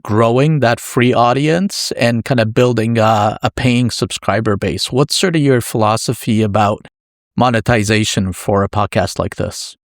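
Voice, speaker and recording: A man's voice: medium pitch, a little slow, no room sound, studio quality. A man hosting a podcast interview in a conversational way, building thoughtfully toward his questions.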